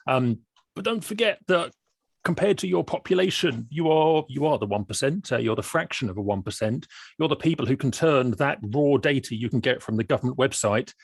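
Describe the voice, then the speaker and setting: A middle-aged man telling stories in a webinar as a guest data scientist, acting out his quoted thoughts with big leaps in pitch. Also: a little fast, vocal fry, breathy edge, dry recording. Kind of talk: animated storytelling in a webinar chat.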